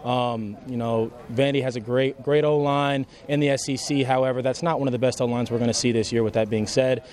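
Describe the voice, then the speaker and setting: A young man speaks as a college athlete in an interview. He talks very quickly at a middling pitch, earnest and matter-of-fact in his answers. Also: fairly even intonation, a little mellow. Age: young adult. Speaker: college football player. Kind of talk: post-practice interview answers.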